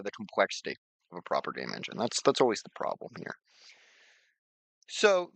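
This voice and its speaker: A man presenting an informal software tutorial, rambling a little, repeating phrases and thinking aloud. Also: medium pitch, no echo. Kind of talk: informal screen-share software demonstration.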